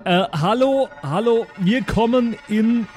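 A man's voice: very high voice, slow pace, darkish tone, soft background music. A man voicing an awkward, cheeky young space traveler who speaks hesitantly and bumbles.

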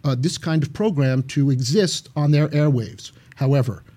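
A man's voice: medium pitch, moderate pace, dark tone, breathy edge. A man co-hosting a radio show, cranky and sardonic, who delivers his jokes in a mock-serious tone. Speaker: co-host, TV producer.

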